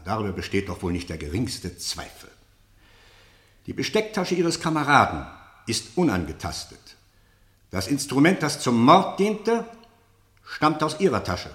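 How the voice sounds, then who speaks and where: Stern, probing interrogator, very expressive intonation. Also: male voice, on the high side, reverberant, exaggerated voice. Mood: sceptical, methodical. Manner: formal, deliberate, insistent, pointed questioning. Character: stern, probing male interrogator, sceptical and relentless. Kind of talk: German radio play acting, interrogation drama.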